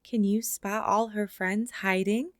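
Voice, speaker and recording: A woman reading a children's picture book aloud in an expressive way, slowing down for the closing lines. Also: mid-range voice, no room sound, studio quality. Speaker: storybook reader. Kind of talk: children's read-aloud narration.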